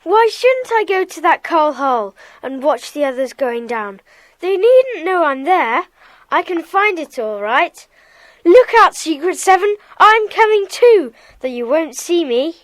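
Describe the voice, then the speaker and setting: Plucky, very high-voiced schoolboy. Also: female voice, unhurried, heavily acted. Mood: defiant. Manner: excitable, emphatic. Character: plucky, defiant, excitable schoolboy. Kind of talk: children's audiobook drama acting.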